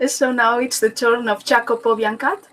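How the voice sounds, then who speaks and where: A woman's voice: a little high, steady tone, normal speed, a bit bright. A woman with as a moderating an online meeting over a video call, with many fillers and restarts.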